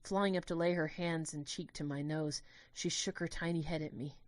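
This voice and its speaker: A woman giving a dramatic first-person narration of a scripted story, in a measured, literary and expressive way. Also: sits low, dry recording.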